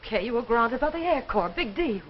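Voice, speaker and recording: A woman's voice: on the high side, lively intonation, fairly quick, crowd noise, close to an everyday voice. A woman playing a lovesick, pleading young sweetheart in 1950s television melodrama style, earnest in manner. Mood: challenging. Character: lovesick, pleading young woman clinging to her departing lover. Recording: muffled, noisy 1950s broadcast recording.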